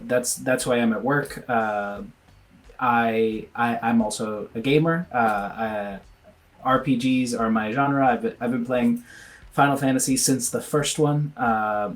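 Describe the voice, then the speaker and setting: A man from Venezuela who is a pediatrician, answering interview questions earnestly and thinking aloud, often restarting his sentences. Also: medium pitch. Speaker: pediatrician and guest.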